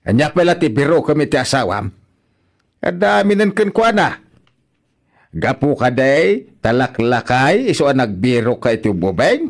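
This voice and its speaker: A man's voice: mid-range voice, big pitch swings, normal speed. A man with an Ilocano accent playing a stern, indignant elderly father who scolds and repeats himself in a radio drama. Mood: angry scolding. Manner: scolding, emphatic, repeats words.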